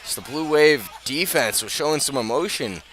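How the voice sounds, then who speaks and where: A man calling live American football play-by-play for radio, moving between measured narration and raised, excited calls. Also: mid-range voice. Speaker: play-by-play sports commentator. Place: outdoors at a high school football field. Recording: noisy outdoor stadium, live broadcast.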